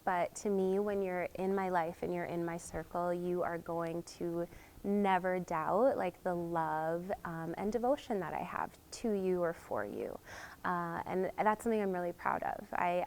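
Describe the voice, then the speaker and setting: A woman in her thirties talking earnestly and warmly about her hobbies and the people she loves. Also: lowish voice. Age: 30s. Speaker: interviewee, single woman dating. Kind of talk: casual interview talk.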